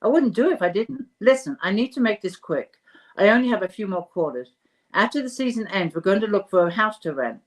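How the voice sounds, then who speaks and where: A woman reading the part of a warm, hurried adult daughter in a storybook, brisk and affectionate. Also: mid-range voice, lively intonation, natural voice. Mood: cheerful, hurried.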